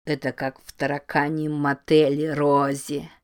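A woman voicing the sinister, whispering voice of an ancient temple, speaking slowly with gloomy mockery. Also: low-pitched, flat delivery, a little mellow, voice pushed down. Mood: gloating whisper. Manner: slow, mocking, gloomy whisper.

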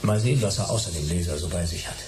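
A man's voice: low voice, very fast, very noisy, natural voice. A man playing a terse, dry, stubborn private detective in a German sci-fi radio play. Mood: wary, dry.

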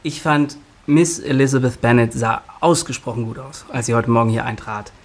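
An actor playing a good-natured, polite young gentleman in a German radio play. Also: mid-range voice, steady tone, normal speed, slight background noise, close to an everyday voice. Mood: genial disagreement.

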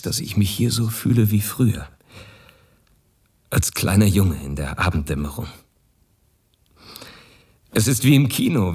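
An actor playing a world-weary man abroad in a radio drama monologue, husky and ruminative. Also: lowish voice, a little mellow, distant mic, full character voice.

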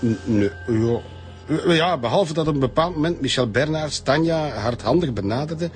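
An actor playing an evasive, flustered Flemish boss in a radio crime drama, answering questions haltingly. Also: male voice, a little high, expressive, soft background music, natural voice. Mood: flustered, recounting. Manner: hesitant, halting.

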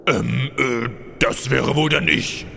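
Man acting a gravelly, flustered evil necropolis lord. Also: mid-range voice, steady tone, slow pace, pushed and bright, slight background noise, heavily acted. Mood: sheepish. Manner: hesitant stammering that flips into irritable scolding. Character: evil, blustering, flustered ruler of a flying necropolis.

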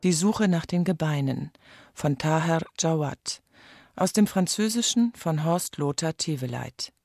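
Announcer for radio drama credits: formal, gravelly edge, close and dry. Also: mid-range voice, a little slow, a little mellow, dry recording.

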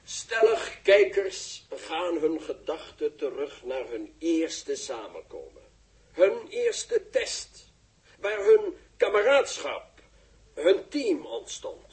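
An actor playing a pompous, easily flustered live reporter in a 1960s radio drama, speaking in formal, florid phrases. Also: male voice, a little high, big pitch swings, slow pace. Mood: solemn. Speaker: television reporter. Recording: echoey, distant 1960s radio recording.

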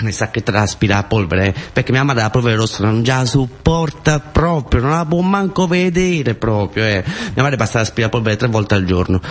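Southern Italian man telling a theatrical story. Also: fairly low pitch. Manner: colloquial, repetitive, with short broken phrases. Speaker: actor and storyteller.

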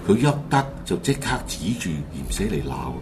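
A man's voice: very deep voice, sing-song, normal speed, very noisy. A man with a Hong Kong Cantonese accent narrating a horror radio drama in a dramatic scripted storytelling style. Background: loud music.

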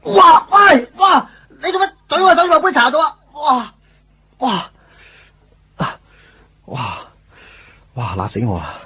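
A man's voice: very high-pitched, big pitch swings, a little slow, long pauses, exaggerated voice. An actor playing a wry, put-upon young man in a Cantonese radio drama, conversational and self-mocking with a Hong Kong accent. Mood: pained yelping. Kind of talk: radio drama narration and dialogue. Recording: dull radio recording with music underneath.

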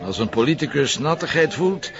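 An actor playing a dogged, wry Flemish police inspector, talking bluntly and colloquially with hesitant fillers in a radio drama. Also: male voice, fairly high pitch, lively intonation, moderate pace, muffled recording, crowd noise.

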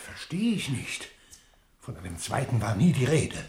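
A man acting in a German radio drama as a pious, suspicious and fearful innkeeper who conspires in murder, agitated and halting. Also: medium pitch, big pitch swings, a little mellow. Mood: startled, suspicious.

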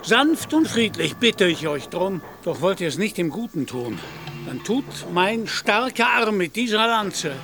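Radio drama knight-errant: high, gravelly voice, grandiose, fiery, self-deluded, declamatory. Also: male voice, big pitch swings, a little slow, music in the background, heavily acted. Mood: threatening. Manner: grandiose, declamatory, archaic turns of phrase. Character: pompous, deluded knight-errant, an ageing gentleman, lofty and fiery. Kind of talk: German radio play acting.

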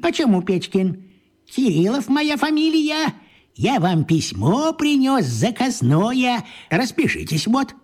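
A slow, musing old wizard. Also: male voice, big pitch swings, husky, heavily acted. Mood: polite. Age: older. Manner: slow, musing, swooping pitch. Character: kindly old male wizard posing as postman. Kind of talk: radio play acting.

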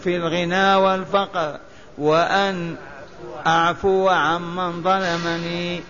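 A man from Algeria teaching as a religious scholar. He chants short memorized lists in a drawn-out, sing-song recitation and has his listeners repeat after him. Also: sits high, level tone, pushed and bright. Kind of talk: lecture teaching by recitation and repetition. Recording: echoey hall, dull lo-fi sound, faint hiss.

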